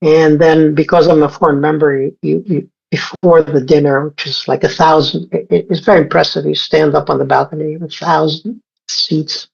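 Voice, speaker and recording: A man's voice: fairly high pitch, little pitch movement, normal speed, creaky, husky. A man in his sixties from Egypt, a scientist guest in an interview, talking casually with many fillers and restarts. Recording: dull lo-fi sound.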